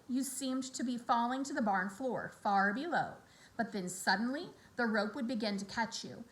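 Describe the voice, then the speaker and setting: A woman reading a children's novel aloud in an expressive storybook narration style, acting out the dialogue. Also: fairly high pitch, low-quality recording. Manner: animated, acts out character dialogue.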